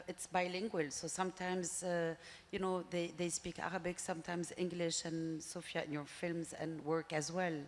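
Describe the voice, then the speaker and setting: A woman's voice: fairly low pitch, a little hiss or hum. A woman moderating a panel, asking long, searching questions with hesitations. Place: museum panel stage. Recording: long hall reverb.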